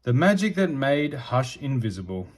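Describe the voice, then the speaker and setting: A man reads a children's storybook aloud in expressive narration. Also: mid-range voice, normal speed. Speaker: storybook reader.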